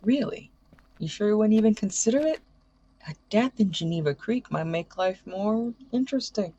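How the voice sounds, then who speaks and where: A loyal young best friend played by an actor in an audio drama, with a husky, mellow voice. He speaks haltingly and sincerely, and the recording is dull and muffled. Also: mid-range voice, lively intonation, close to an everyday voice. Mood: playful.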